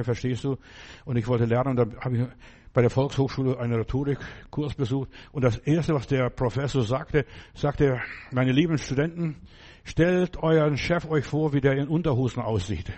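A man's voice: mid-range voice, a little mellow. A man preaching a fervent, emphatic sermon in German, which is not his first language, repeating phrases for emphasis.

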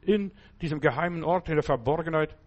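A man's voice: fairly quick, highs cut off. A middle-aged man preaching a sermon in short emphatic phrases, often checking whether his listeners follow him. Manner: speaks in short phrases with sudden pitch and volume jumps.

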